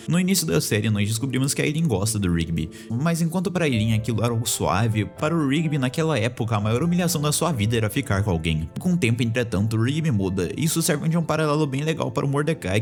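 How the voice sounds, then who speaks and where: Man, video essay narrator, channel host, brisk pace, medium pitch, soft music behind.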